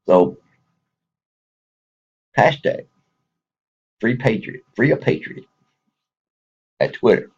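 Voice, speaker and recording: A man's voice: fairly low pitch, very animated, slow, pauses often, husky, highs cut off. A man with a Texas accent speaking casually to viewers in a folksy, rambling way, chuckling between thoughts.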